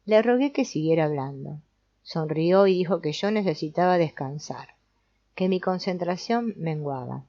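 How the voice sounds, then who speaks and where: Low-voiced woman narrating, dull sound. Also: lively intonation, normal speed, warm tone, no echo. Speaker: audiobook narrator. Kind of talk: scripted audiobook narration.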